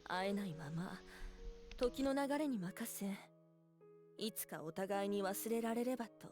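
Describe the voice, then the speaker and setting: A woman's voice: mid-range voice, a little fast, a little mellow, lightly acted. Cold, distant fox spirit woman, played by an actress. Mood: resigned, solemn. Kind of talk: Japanese visual novel voice acting. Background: background music.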